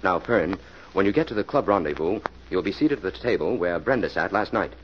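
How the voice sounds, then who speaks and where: Fast, low-voiced crime fighter. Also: male voice, expressive. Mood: confident, instructing. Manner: brisk, persuasive, instructing. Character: brisk, confident crime-fighting man in charge. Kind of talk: 1940s radio acting. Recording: muffled, slightly noisy old radio recording.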